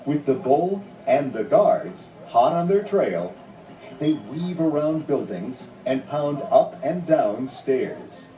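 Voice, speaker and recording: Mellow male storybook narrator, lively delivery. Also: mid-range voice, takes it slowly, slight background noise. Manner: dramatic storytelling. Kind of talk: scripted storybook read-along narration. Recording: roomy, distant sound under music.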